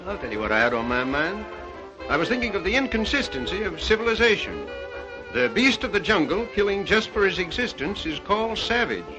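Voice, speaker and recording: An actor playing a thoughtful, uneasy doctor with a moralizing streak, in 1930s film style. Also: male voice, sits high, sing-song, a little fast. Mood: challenging. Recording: dull old film soundtrack, faint music.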